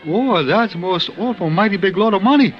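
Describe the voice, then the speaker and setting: High-pitched, excitable hotel servant. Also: male voice, normal speed, lo-fi sound, soft background music. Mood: eager, curious. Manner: broken English mixed with florid formal phrases. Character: eager, naive, deferential male hotel servant. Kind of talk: 1950s radio western acting. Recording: band-limited vintage radio recording.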